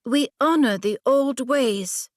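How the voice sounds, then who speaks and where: A woman's voice: sits high, slow, dry recording, studio quality, pitched above the performer's own voice. A woman voicing a proud, impatient young noblewoman warrior with a brusque, challenging manner in a fantasy audiobook. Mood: defiant, impatient. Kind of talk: audiobook fantasy character dialogue.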